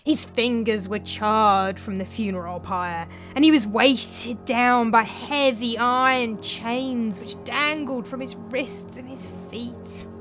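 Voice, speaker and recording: A woman's voice: on the high side, steady tone, slow, few pauses, music in the background. A woman voicing a scheming male servant who tells tales in an urgent, melodramatic way with stretched-out words, in a dramatised story. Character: desperate scheming male servant spinning a ghost tale.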